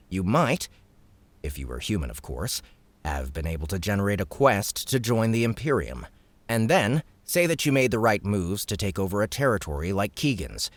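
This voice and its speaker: A man acts a young gamer who fancies himself a villain, in an audiobook drama. He is deep-voiced, relaxed and explanatory, with a matter-of-fact delivery and a very dry, close sound. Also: dry recording. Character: laid-back young male gamer playing would-be villain. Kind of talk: audiobook character acting.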